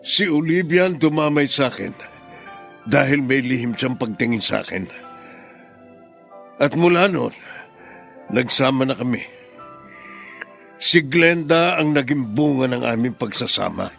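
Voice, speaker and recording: A man's voice: mid-range voice, expressive, unhurried, vocal fry, pauses often, a bit bright, faint music behind. A man acting a stern, guilt-ridden middle-aged father in an emphatic radio melodrama style. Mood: confessing, insistent. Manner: emphatic, dramatic delivery.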